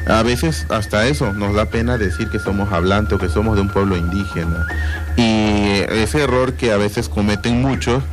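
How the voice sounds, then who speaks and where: A man hosts a radio interview in a measured voice, rounding off his points with rhetorical questions. His voice sits somewhat low. Music runs clearly behind him in the studio. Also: fairly even intonation. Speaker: radio host.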